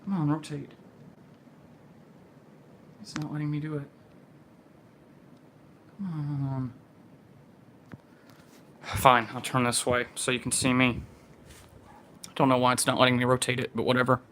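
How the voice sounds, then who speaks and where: A man's voice: mid-range voice, flat delivery, measured pace, long pauses, a bit bright. A man casually narrating an amateur livestream, rambling and thinking aloud as he goes. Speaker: amateur weather spotter livestreaming. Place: at home during a storm. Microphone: phone. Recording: noisy amateur livestream, ambient noise.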